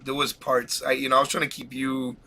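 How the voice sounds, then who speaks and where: An excited man hosts a casual live podcast, voice bright and forceful. He rambles, restarts and laughs often, sounding like he's pushing through a cold. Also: mid-range voice, fairly quick, gravelly. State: has a cold. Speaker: podcast host.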